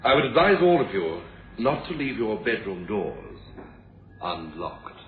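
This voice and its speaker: A very deep-voiced formal police inspector. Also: male voice, big pitch swings. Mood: formal, cautionary. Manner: formal, measured, dry. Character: stern, courteous male police inspector on duty. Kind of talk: suspense radio drama acting. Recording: noisy phone-like vintage radio recording.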